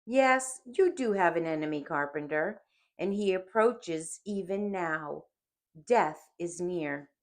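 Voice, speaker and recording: A woman's voice: fairly low pitch, lively intonation, slow. A woman voicing Sin as a proud, taunting male villain in a dramatic audiobook reading. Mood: taunting, exultant. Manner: declamatory, taunting.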